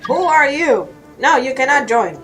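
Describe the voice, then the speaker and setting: A woman's voice: high-pitched, animated, moderate pace, fairly bright tone, soft background music. A woman giving casual gameplay commentary while she plays. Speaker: gamer.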